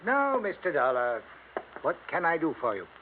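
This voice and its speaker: A man's voice: fairly high pitch, wildly swooping pitch, normal speed, narrow, tinny audio. A man playing a stuffy, evasive senior lawyer in an old radio drama, speaking in a prim, formal, huffy way. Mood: smoothly evasive. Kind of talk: 1950s radio drama acting. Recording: thin old radio broadcast, faint noise.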